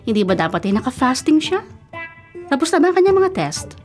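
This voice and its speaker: An actress playing a composed, quietly calculating middle-aged mother who speaks with measured authority in a radio drama. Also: sits high, sing-song. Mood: composed, probing. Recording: music bed under the voice.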